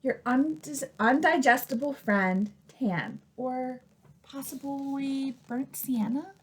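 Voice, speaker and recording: A battered crayon from a children's storybook, acted out by a woman reader. The voice is high and unhurried. It pleads with a plaintive, disgusted air of grievance. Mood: plaintive, aggrieved. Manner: plaintive, aggrieved letter-writer.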